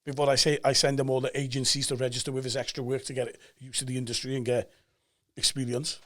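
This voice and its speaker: A man in his forties with a Cardiff Welsh accent, talking as a guest on a podcast and telling stories with emphatic energy. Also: mid-range voice. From Cardiff, Wales. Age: 40s. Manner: animated storyteller, fast and emphatic, acts out quoted voices. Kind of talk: casual podcast chat.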